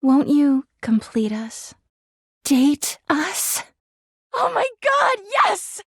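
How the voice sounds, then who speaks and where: A clingy, melodramatic girlfriend from a video game. Her voice sits high and swoops constantly with volatile, whiny emotion. She is jealous and needy, recorded dry and clean like studio character work. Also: sing-song, extremely slow, gravelly, a bit bright, no echo, heavily acted. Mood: gushing. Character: clingy, jealous, melodramatic female living household object, toxic girlfriend.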